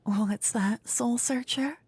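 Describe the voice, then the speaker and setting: Lady doing a flippant theatrical queen for fantasy asmr, breathy n soft, super close dry sound. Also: on the high side, slow pace, no echo, pitched above the performer's own voice, exaggerated voice. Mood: playful, commanding. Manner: playful, condescending, theatrical. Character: gleeful, flippant queen presiding over her fantasy court. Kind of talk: fantasy ASMR roleplay, soft-spoken and whispery.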